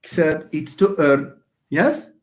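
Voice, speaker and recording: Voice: medium pitch, big pitch swings, slow. A university lecturer giving a live online lecture, emphatic, repeating phrases and speaking straight to students.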